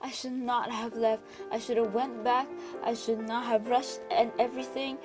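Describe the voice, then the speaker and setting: Earnest young girl, acted by a woman, high voice, halting and pleading, amateur radio drama with prominent music. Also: flat delivery, close to an everyday voice. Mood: remorseful, grieving. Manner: pleading, halting, repeats words. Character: young, earnest, anguished girl back from Neverland. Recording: music loud behind voice.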